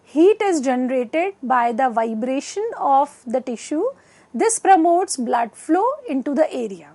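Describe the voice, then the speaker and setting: A woman with an Indian accent delivers a lecture in a high voice. She moves very slowly, phrase by phrase, with some halting as she reads. The sound is dry and close, from a lapel mic in a studio. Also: no room sound. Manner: halting, reading out in short phrases. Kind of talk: scripted educational lecture. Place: indoor studio.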